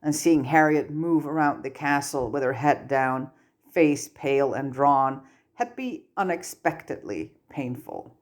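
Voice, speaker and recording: A woman's voice: low voice, unhurried. A woman reading a story aloud as scripted audiobook-style narration. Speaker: amateur fan fiction narrator.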